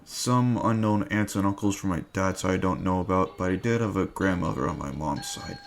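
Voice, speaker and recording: A man's voice: low voice, fairly quick. A man acting in an amateur dramatic reading as a tender, reticent hero in love. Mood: shy, affectionate. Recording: music bed under the voice.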